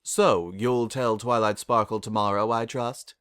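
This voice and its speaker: A man's voice: on the low side, fairly even intonation, a little slow, a bit bright, clear, resonant tone, no echo. Man as regal pony princess of the night, female, stern, commanding, archaic phrasing, storybook character, dry close sound. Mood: measured, regal.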